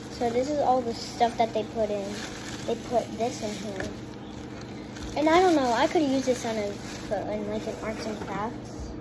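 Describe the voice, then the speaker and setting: A young girl rambles to her viewers from a home kitchen table, often stopping and restarting her sentences. Her voice sits a little high and moves slowly. The phone recording carries clear background noise from the room. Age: child. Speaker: kid vlogger. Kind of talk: casual chat to camera. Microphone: phone propped on the table.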